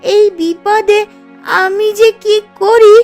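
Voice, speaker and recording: A woman's voice: very high-pitched, steady tone, takes it slowly, darkish tone. A woman voicing a poor, earnest village girl who pleads, in a children's cartoon story. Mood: pleading. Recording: music playing under the voice.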